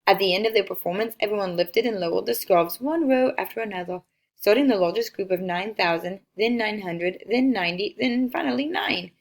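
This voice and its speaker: A woman reading a children's picture book aloud as an expressive storyteller. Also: medium pitch, brisk, gravelly.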